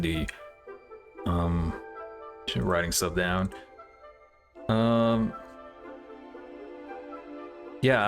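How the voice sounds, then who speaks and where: A man hosting a reaction video, commenting casually and hesitantly with music behind him. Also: sits low. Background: music from the game trailers.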